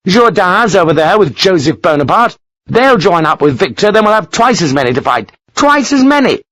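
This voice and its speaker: A man's voice: sits high, steady tone, fast talker, a bit bright, dry recording, voice pushed up. A man voicing a furious aristocratic British officer who rants and curses in a raised, strained voice. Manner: ranting, explosive, cursing.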